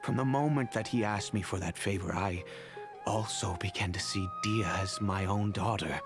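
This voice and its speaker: An actor playing an earnest, remorseful, wealthy middle-aged father in a video game, speaking in a measured, formal way. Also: lowish voice, over loud music, close to an everyday voice. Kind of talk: video game character acting.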